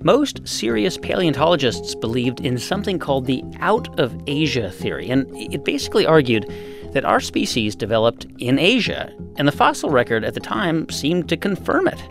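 A male podcast host narrates and explains in a lively storytelling way. His voice is a bit high and gravelly, with very animated pitch. Faint music runs underneath. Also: moderate pace. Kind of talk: scripted narration and interview.

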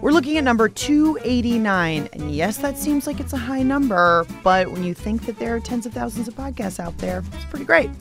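A woman's voice: on the high side, expressive, brisk, few pauses. An American woman hosting a radio show, narrating in a playful, chatty, wry way. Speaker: radio show and podcast host. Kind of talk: playful conversational scripted narration. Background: music.

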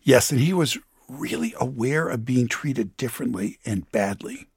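A man's voice: mid-range voice, lively intonation, moderate pace, creaky, breathy edge, no room sound, clean studio sound. An American man giving a conversational radio report as a contributor, telling a story with emphasis. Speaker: economics contributor.

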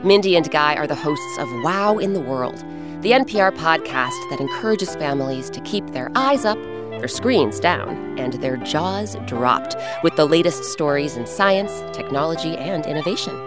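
A woman hosting and narrating a children's story in an expressive, scripted style with dramatic pauses. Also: low voice. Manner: clear, animated, dramatic pauses. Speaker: host and narrator. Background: instrumental music.

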